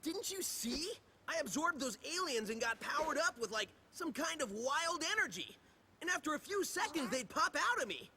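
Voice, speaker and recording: Bright brash young hedgehog hero. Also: male voice, extremely high pitch, very animated, normal speed, exaggerated voice. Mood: excited. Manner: casual, wry, youthful. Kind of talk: video game cutscene acting.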